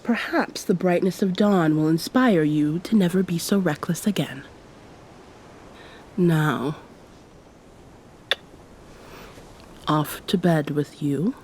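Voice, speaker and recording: An immortal succubus pirate captain, acted by a woman in an intimate ASMR roleplay. Her voice is fairly low and dark, soft and often nearly whispered, with formal, old-fashioned wording. She sounds affectionate and teasing yet commanding, over a faint low rumble. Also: measured pace, natural voice.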